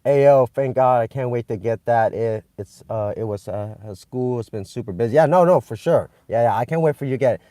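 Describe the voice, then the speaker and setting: A young man from Vietnam chatting with live-stream viewers, excitable and rambling, with many restarts and fillers. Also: medium pitch, a little fast, hardly any pauses, dry recording, studio quality. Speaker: channel host streaming live. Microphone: phone.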